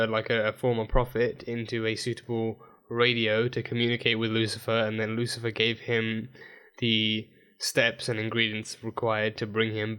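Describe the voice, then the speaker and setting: A man with a dry, croaky throat recapping a TV episode in a rambling, casual way, full of fillers and restarts. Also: fairly low pitch, sharp tone. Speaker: TV episode reviewer. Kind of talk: casual reaction video recap.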